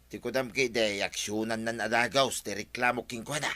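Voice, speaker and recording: A man's voice: medium pitch, fairly even intonation, moderate pace, pushed and bright, lightly acted. A man with an Ilocano Filipino accent playing a scheming village husband in a radio comedy drama. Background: music.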